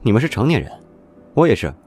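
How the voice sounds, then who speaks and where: An actor playing a calm young man who speaks in a relaxed, reassuring way, in an audio drama. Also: medium pitch, quick pace, soft background music, close to an everyday voice. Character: calm, easygoing young man, resolved to face risk.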